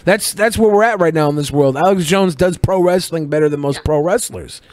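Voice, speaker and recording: A high male voice, recorded dry and close. He talks like a podcast co-host, opinionated and emphatic, swearing freely as he comments. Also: a little fast, a little mellow, no echo. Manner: profane, emphatic. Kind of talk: opinionated casual banter and commentary.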